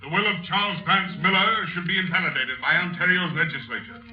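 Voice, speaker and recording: An actor playing an indignant, pompous attorney general orating in a 1930s radio drama. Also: male voice, high-pitched, brisk, lightly acted. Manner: oratorical. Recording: muffled, echoey old radio recording, music.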